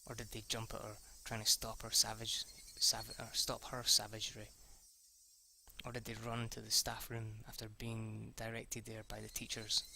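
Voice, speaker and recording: A man reading a visual novel aloud as an amateur, haltingly, stumbling and correcting himself with the odd swear word as an aside. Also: lowish voice, monotone, creaky, sharp tone, slight background noise. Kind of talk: amateur read-through of a story.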